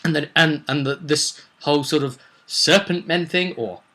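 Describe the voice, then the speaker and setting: A man's voice: on the high side, normal speed, bright, forceful tone. A man speaking as a game master, recapping a roleplay session in a casual, rambling, digressive and jokey way. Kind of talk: casual rambling vidcast storytelling.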